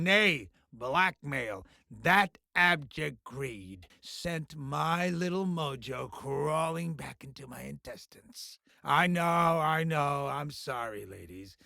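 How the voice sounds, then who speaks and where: A sleazy alien TV mogul from a video game, pitched high with a heavy gravelly creak. He talks in pompous, smarmy showbiz patter with a bright, forceful edge, wheedling and self-important. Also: no room sound, clean studio sound, full character voice. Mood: smarmy, mock-wounded. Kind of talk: theatrical video game character acting.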